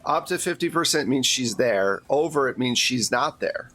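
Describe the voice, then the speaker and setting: A man's voice: mid-range voice, animated, moderate pace, a bit bright. A man narrating a tabletop role-playing game as game master, in a casual, conversational storytelling way.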